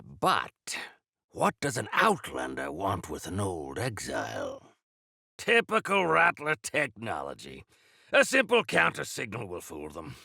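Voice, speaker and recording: A zealous exiled rebel for a video game, swinging wildly in pitch with a forceful, piercing edge. He is grandiose and eccentric, drawing out his florid, old-fashioned phrases at an unhurried pace. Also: creaky, slightly rough, no room sound, clean studio sound, exaggerated voice. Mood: dry, confiding. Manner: bombastic, archaic florid phrasing. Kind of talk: theatrical video game character lines.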